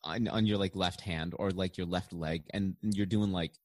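A man's voice: sits low, steady tone, normal speed, no echo, studio quality. A man hosting a podcast in a casual, jokey, rambling way, with lots of restarts and laughs. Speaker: podcast host.

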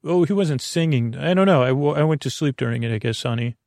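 Meandering male sleep-podcast host, gravelly. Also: darkish tone, no echo, clean studio sound. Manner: meandering, self-interrupting, tangents; low-key, unhurried delivery. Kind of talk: rambling bedtime monologue.

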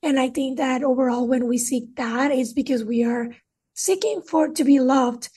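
High, breathy woman hosting an interview. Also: normal speed, dark tone, recorded from a distance. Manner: chatty, full of "like" and "right?". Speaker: podcast host. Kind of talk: casual interview talk.

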